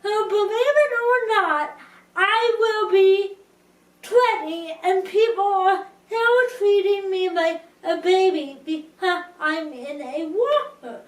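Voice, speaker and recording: Slow-talking young woman, high and roomy. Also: far from the mic. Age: young adult. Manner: slow, careful speech with restarts. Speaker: vlogger. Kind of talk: casual talk to camera.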